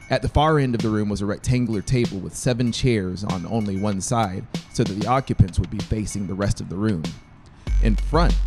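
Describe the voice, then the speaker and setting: A man in his thirties reading scripted fiction as an audiobook narrator, close on the mic in a small room. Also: on the low side. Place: small brick-walled room, indoors. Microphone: close dynamic mic on boom stand. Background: faint music.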